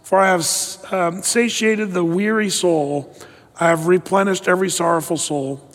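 A man's voice: high-pitched, steady tone, normal speed, a bit bright. A man preaching a verse-by-verse sermon, talking in a conversational way, reading scripture aloud and often restarting his sentences. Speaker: pastor. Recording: hall reverb.

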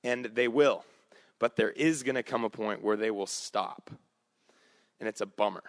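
A man preaching a conversational sermon, his voice slightly raspy, with frequent fillers and restarts. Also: lowish voice, moderate pace, no room sound, studio quality. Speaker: pastor.

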